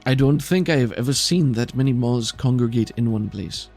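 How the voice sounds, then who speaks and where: A man plays a female medic in a tabletop game, brisk and skeptical. The voice has a gravelly edge and a dry, questioning manner, with music underneath. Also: medium pitch, darkish tone, lightly acted. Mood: puzzled.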